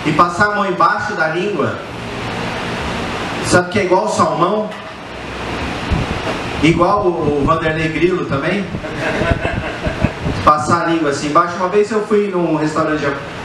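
A man's voice: a little high, moderate pace, long pauses, distant mic. A middle-aged man with a Brazilian accent doing colloquial, crude stand-up storytelling into a handheld microphone on a small stage. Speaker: stand-up comedian. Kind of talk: stand-up comedy storytelling to a live audience. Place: small indoor bar stage. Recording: very echoey live venue with faint background noise.

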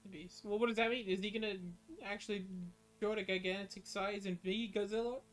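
A woman's voice: mid-range voice, fairly even intonation, a little fast. A young woman streamer giving casual reaction commentary and reading captions aloud.

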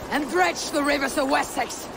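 An actor playing a resolute, solemn Viking clan leader in a video game, grave and emphatic. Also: male voice, very high-pitched, moderate pace, vocal fry, background noise. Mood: impassioned. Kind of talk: dramatic video game cutscene acting.

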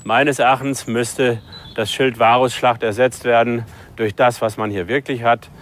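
A man's voice: medium pitch, level tone, unhurried, a little hiss or hum. A man, a professor in an interview, making his argument in formal academic language.

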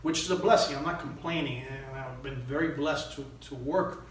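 A man's voice: mid-range voice, normal speed, fairly bright tone. A middle-aged man from Miami answering interview questions conversationally as an actor, often restarting his phrases. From Miami, United States. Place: small indoor room. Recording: echoey room, distant mic, faint noise.

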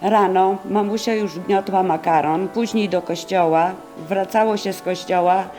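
A senior woman from the Polish countryside looks back on her life. Her medium-pitched speech is reflective and plain, with faint music underneath. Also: fairly even intonation, measured pace, warm tone. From rural Podlasie, Poland. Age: over 60. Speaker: senior sharing memories. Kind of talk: reflective reminiscence.

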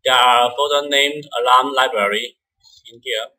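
A man with a bright, forward voice at a medium pitch walks through software step by step. He is a non-native English speaker, and his delivery is halting, with repeated words. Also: steady tone, rough recording. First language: not English. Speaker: software tutorial presenter. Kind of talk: step-by-step screen-recorded software tutorial.

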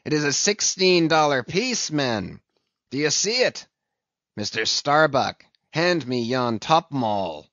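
A man voicing a fierce, obsessed old sea captain in a storybook reading, loud and declamatory, rallying his crew in archaic seafaring speech. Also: fairly high pitch, big pitch swings, takes it slowly, no echo, dull audio, voice pushed up, exaggerated voice. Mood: commanding. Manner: archaic seafaring oratory, commanding.

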